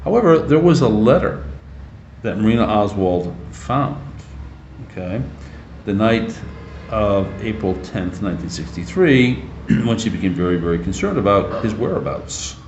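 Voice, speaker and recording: A man hosting a talk show with casual commentary, speaking haltingly and checking in with listeners in folksy asides. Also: on the low side, lively intonation, darkish tone, lo-fi sound, slight background noise. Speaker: host. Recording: roomy, echoing sound.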